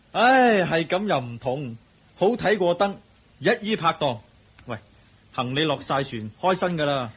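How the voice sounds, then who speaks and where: Loyal, bantering Hong Kong Cantonese companion, slightly high pitch, animated radio drama delivery. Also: male voice, a little slow, husky, exaggerated voice. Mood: amused, eager. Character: loyal, teasing male companion of a newly rich villager.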